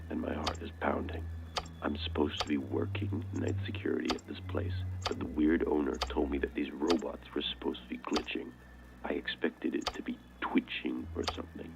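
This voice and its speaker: A man's voice: rumbling low, creaky. A man playing a shaken, cynical night security guard in a game, muttering a weary audio log on a muffled, noisy recorder.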